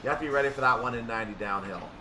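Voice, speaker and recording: Man commentating over loud background noise. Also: mid-range voice, rapid-fire, distant mic. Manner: thinks aloud, self-correcting. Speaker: gaming video host. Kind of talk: casual train simulator play-through commentary.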